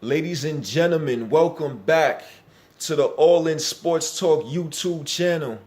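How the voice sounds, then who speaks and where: A young man hosts a sports channel, his voice a little higher than most. He addresses the audience in a promotional, announcer-like tone and pitches the channel to viewers. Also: measured pace, darkish tone. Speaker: channel and podcast host. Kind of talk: upbeat show introduction.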